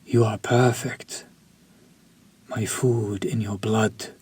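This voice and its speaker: An actor playing a refined, possessive male vampire chef who speaks formally, slowly and with quiet menace, in an audio roleplay for a listener. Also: fairly low pitch, fairly even intonation, slightly rough, full character voice. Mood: seductive. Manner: slow, formal, seductive and menacing.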